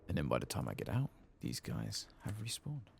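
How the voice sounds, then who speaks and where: A soft-spoken man murmuring ASMR commentary as he plays a video game. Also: very deep voice, huge pitch swings, a little fast, creaky. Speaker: gaming streamer. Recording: faint background noise.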